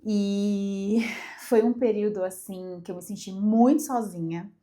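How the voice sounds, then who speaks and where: A woman's voice: mid-range voice, fairly even intonation, measured pace, warm tone. A young Brazilian woman congested with a cold, chatting casually and emphatically to camera as a vlogger. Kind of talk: casual personal chat to camera.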